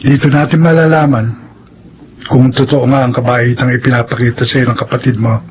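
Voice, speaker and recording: An actor playing a calm, secretive young man in a Philippine radio drama, steady and resigned. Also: mid-range voice, a little fast, lo-fi sound, slight background noise, natural voice.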